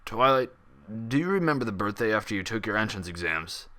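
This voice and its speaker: Man playing a calm, authoritative unicorn doctor. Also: on the low side, brisk. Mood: measured, probing.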